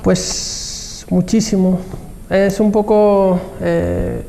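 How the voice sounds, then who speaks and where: A man with a Spain Spanish accent speaks in a high, fairly even voice with some gravel in it. He explains things conversationally as an interview guest, backing up to rephrase. The room rings with long reverb. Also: very slow. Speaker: guest expert, marketing professional and trainer. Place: TV programme set, indoors. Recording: reverberant hall-like room, faint background noise.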